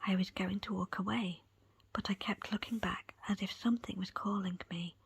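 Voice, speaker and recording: A woman reading a book in a breathy ASMR whisper, with soft, unhurried, deliberate phrasing. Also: medium pitch, steady tone. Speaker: book presenter and reader.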